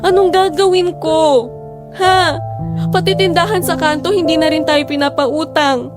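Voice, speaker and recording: High-pitched, weary, frustrated wife played by a woman. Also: fairly even intonation, unhurried, over music. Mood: frustrated, desperate. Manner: emotional, pleading and reproachful. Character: weary, frustrated wife and mother in hard times. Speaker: voice actor. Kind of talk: Filipino audio drama acting.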